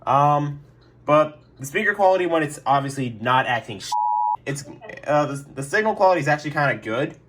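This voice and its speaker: A man's voice: a little high, expressive, brisk, very noisy. A young man rambling casually through a review vlog in his bedroom, joking and dropping lots of fillers. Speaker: weather radio collector.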